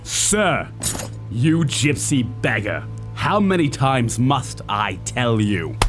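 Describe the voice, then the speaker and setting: A man's voice: medium pitch, sing-song, slow. Man playing a cruel, domineering young master over music. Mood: bullying. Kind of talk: audio drama acting.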